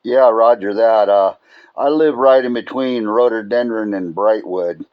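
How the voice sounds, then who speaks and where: A man's voice: fairly low pitch, unhurried, smooth. A man chatting casually over two-way radio in a laid-back way, often calling the listener "man". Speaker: amateur radio operator. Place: radio transmission. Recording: muffled, echoey two-way radio transmission.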